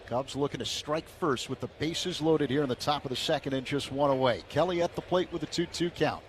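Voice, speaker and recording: A man doing radio play-by-play of a baseball game, measured and rising in energy on big plays. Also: on the high side, slight background noise. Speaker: play-by-play announcer.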